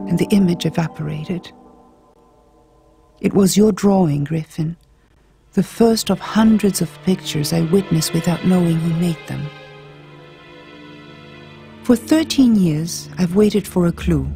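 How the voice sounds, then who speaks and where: A woman's voice: fairly low pitch, creaky, dark tone. A woman reading an audiobook as an earnest twenty-eight-year-old island woman writing an intimate letter. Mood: wondering, earnest.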